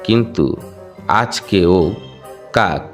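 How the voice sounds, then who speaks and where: Man reading a cartoon fable as narrator, deep voice, light music underneath. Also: animated, slow. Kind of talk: scripted storybook narration for a cartoon.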